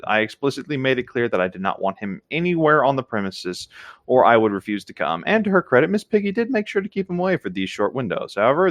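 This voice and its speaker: A man's voice: mid-range voice, dry recording. A man co-hosting, reading a story aloud and adding casual commentary.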